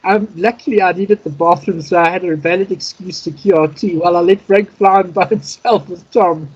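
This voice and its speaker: A man's voice: high voice, fairly even intonation, a little fast, slightly rough, lo-fi sound. A man giving informal, methodical instruction in an online radio practice class. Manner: methodical, explanatory, spelling in phonetic alphabet. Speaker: Morse code instructor. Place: online video call.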